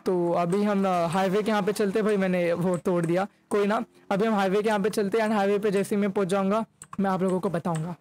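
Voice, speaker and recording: A young man talks through his gameplay in a high voice. His delivery stays flat and even, chatty and casual, with English words dropped in. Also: quick pace, slight background noise. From India. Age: young adult. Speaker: gaming YouTuber. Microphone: desk microphone close to mouth.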